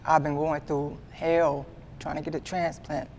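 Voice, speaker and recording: A man in his twenties giving a candid sit-down interview, earnest and halting, often repeating himself as he restarts. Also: fairly high pitch, level tone, a little mellow, a little hiss or hum. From Midwestern US. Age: 20s. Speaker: guest artist.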